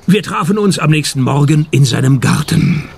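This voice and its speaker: A man playing a dry, world-weary private detective in a radio play, deadpan and sarcastic. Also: fairly high pitch, moderate pace, gravelly, slight background noise. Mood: wry narration. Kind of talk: first-person radio detective narration and dialogue.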